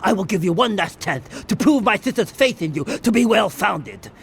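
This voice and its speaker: Stern, honor-bound gang boss, formal and lively in delivery, for a video game cutscene. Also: male voice, sits high, normal speed, slight background noise. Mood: furious. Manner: formal, grave, commanding. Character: proud, ruthless crime boss who speaks formally.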